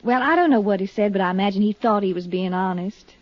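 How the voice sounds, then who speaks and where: A woman's voice: medium pitch, fast talker, no echo, close to an everyday voice. Woman acting an earnest young radio heroine, dull sound. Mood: frank, confiding. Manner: earnest, confiding, firm. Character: poised, earnest, grieving young woman, self-assured. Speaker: radio drama actress. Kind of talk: 1950s radio drama acting. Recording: dull, dry 1950s radio recording.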